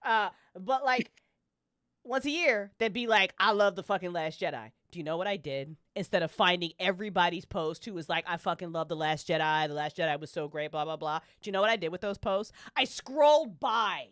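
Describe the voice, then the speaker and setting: A woman's voice: lowish voice, a little fast, fairly bright tone, dry recording, clean studio sound. A woman co-hosting a podcast, chatting casually in a blunt, slangy, emphatic way with plenty of swearing. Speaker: podcast co-host. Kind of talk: casual chat.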